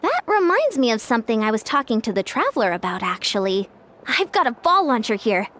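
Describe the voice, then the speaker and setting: High-voiced, brisk young coach. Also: female voice, very animated, fairly bright tone, a little hiss or hum. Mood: earnest. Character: young woman sports coach, brisk, earnest and diligent. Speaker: coach and commentator. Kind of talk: video game character acting.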